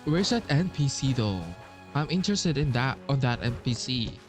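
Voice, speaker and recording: A man's voice: medium pitch, animated, moderate pace, over music. A man giving casual playthrough commentary as a game streamer, hesitant and trailing off mid-thought.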